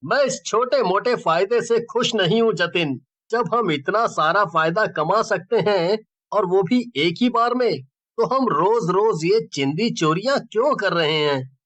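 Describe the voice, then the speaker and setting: A man's voice: sits high, unhurried, fairly bright tone. A man acting a greedy, cocky young village schemer in a cartoon story, with a boastful way of talking. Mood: impatient.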